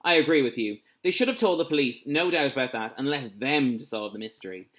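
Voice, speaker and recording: A storybook police inspector , read aloud by a man, speaking at a middle pitch. He is official and measured, stressing his points, stern but ready to approve. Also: animated, fairly bright tone, close to an everyday voice.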